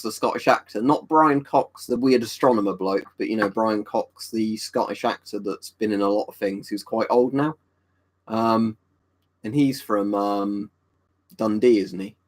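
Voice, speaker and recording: Chatty man reading stream chat. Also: medium pitch. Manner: chatty, rambling, answers viewers by name. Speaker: livestream host reading chat. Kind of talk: casual chat.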